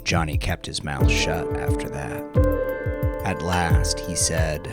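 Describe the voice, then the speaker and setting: A deep-voiced man narrates dramatic crime fiction at a slow, deliberate pace. Music plays prominently behind his scripted storytelling. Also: fairly even intonation. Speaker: audiobook narrator.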